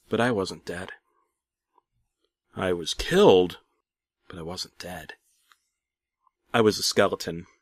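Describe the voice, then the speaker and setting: A man narrating a comic first-person horror tale as a storyteller, theatrically shifting between hushed asides and loud exclamations. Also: fairly low pitch, no room sound, studio quality. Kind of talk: dramatic first-person creepypasta narration, comedic.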